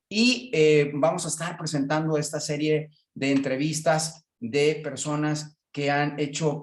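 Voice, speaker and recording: Roomy-sounding male radio host. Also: on the high side. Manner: rambling, many fillers. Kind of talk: radio interview.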